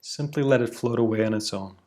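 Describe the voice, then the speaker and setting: A man leading a guided meditation, giving slow, soothing instructions with long pauses. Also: mid-range voice, a little mellow. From Canada.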